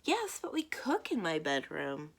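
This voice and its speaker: A matter-of-fact storybook child, as a woman reads it aloud. The kid explains things with mild exasperation, in an animated voice with some creak. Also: sits low, measured pace, heavily acted. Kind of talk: children's picture book read-aloud.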